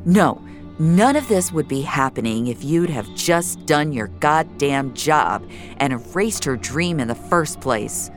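A woman plays a gruff, regretful man, a mentor turned betrayer, in an audio fiction drama. The voice is very deep and deliberate, recorded dry with soft music behind it. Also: lively intonation. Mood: resentful, stern.

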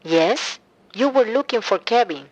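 A woman's voice: a little high, expressive, measured pace. An actress playing a grieving, bitter mother on a phone call in an audio drama, speaking tersely and with suspicion. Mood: anxious, wary. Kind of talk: full-cast audio drama acting.

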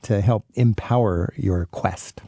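A man's voice: lowish voice, slow, warm tone, husky. A man hosting a radio travel show, interviewing a guest in a casual, chatty, conversational way.

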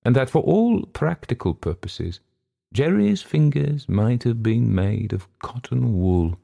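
A man voicing an unafraid old gentleman with a scoffing, assured manner in a storybook reading. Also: medium pitch, animated, unhurried, creaky, voice pushed up, exaggerated voice. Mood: calm, assured.

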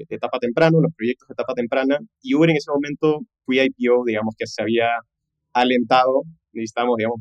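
A man's voice: mid-range voice, darkish tone, no room sound, muffled recording. A man, telling his career story conversationally as a podcast guest, dropping in English business jargon and frequent tag questions. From Peru. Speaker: podcast guest, tech entrepreneur.

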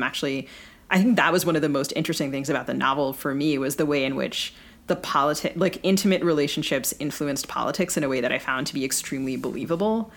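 A woman co-hosting a casual book podcast, speaking analytically in long, wordy thoughts. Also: low-pitched. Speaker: podcast co-host and fiction writer. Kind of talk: casual literary discussion.

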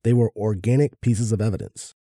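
A man's voice: fairly low pitch, brisk, warm tone, dry recording, clean studio sound. A man co-hosting a casual chat and reading out research. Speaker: podcast co-host.

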